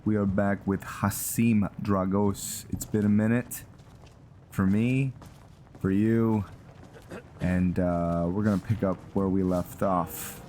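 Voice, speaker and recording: A man giving casual gameplay commentary as host, thinking aloud in a halting way, with game music behind him. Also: on the low side, fairly even intonation, warm tone, slight background noise. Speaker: gaming channel host.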